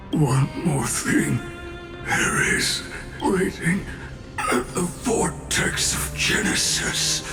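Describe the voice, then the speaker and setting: Tired proud old blacksmith from a game, super slow, croaky, pitch swings all over, weird word order. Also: male voice, exaggerated voice. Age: older. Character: aged dying master smith, inverted speech, proud and weary. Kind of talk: English video game voice acting. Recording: echoey, loud music underneath. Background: loud game music.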